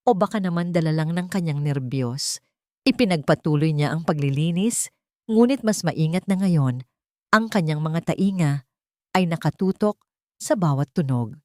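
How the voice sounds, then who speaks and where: A woman's voice: sits low, lively intonation, normal speed, a little mellow, no echo, clean studio sound. A woman narrating a scripted dramatic story as an audio drama.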